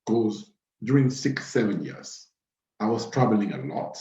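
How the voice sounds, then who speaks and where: Low-voiced man in a roomy space. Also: very animated, dark tone. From Cameroon. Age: 30s. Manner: halting, repeats phrases. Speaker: webinar host. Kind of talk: informal live webinar talk. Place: small plain room indoors. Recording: roomy, dull webcam audio.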